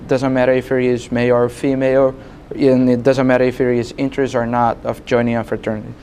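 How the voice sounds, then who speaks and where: A man's voice: medium pitch, darkish tone, slight background noise. A young man, giving conversational interview answers as a student club president. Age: young adult.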